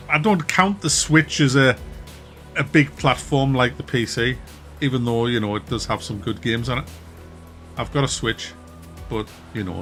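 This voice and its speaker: Man, mackem north east accent, middle-aged, laid-back stream chatter, laughs a lot, faint music behind. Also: mid-range voice. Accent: Wearside (Mackem) North East English. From North East England, near Durham. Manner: relaxed, chatty, laughs often, trails off. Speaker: game streamer. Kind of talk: casual live-stream chat reading viewer comments.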